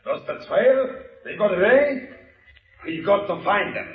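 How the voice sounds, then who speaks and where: An actor playing an impatient, commanding Nazi colonel who barks orders in a 1940s radio drama. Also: male voice, high-pitched, lively intonation, measured pace. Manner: barking, commanding. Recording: distant, echoing, muffled vintage radio recording.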